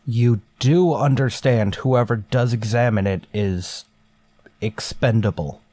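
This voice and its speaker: Starship captain, stern and guarded, mid-pitched male voice, curt authoritative orders, dry close sound, old-style sci-fi radio play. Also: slow pace, warm tone, no echo. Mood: stern, wary. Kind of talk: golden age sci-fi radio drama acting.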